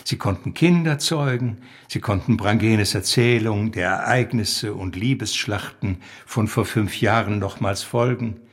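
A man reading a literary story aloud as narrator, with a husky, gravelly voice. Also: fairly low pitch, measured pace, hardly any pauses. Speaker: reader.

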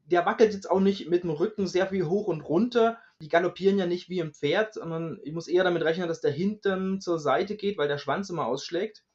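A man explains things informally as a guest scientist. His voice is clearly high for a man. The recording is slightly dull and narrow in band. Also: brisk, hardly any pauses, darkish tone. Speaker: dinosaur researcher, expert guest.

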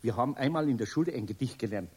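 An actor playing an older, good-natured but bewildered Bavarian carpenter in a children's radio play, husky, hesitant and given to muttering. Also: male voice, medium pitch, lively intonation, very fast, darkish tone. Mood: thoughtful. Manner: hesitant, muttering, exclaiming in surprise.

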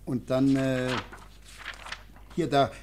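An actor in a German radio play playing a fussy, deferential nineteenth-century householder who talks in an eager, conspiratorial way. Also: male voice, mid-range voice, level tone, very slow, darkish tone, slight background noise.

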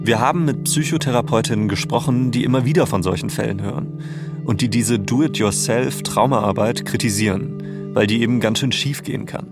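A man's voice: mid-range voice, brisk, vocal fry, over loud music. A man co-hosting a narrative podcast, reading scripted storytelling in a conversational, explanatory tone.

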